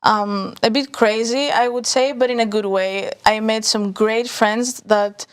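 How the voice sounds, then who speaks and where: A young woman from Greece, a university student guest, speaking calmly and softly in casual chat with some hesitation. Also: a little high, measured pace, clean tone. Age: young adult.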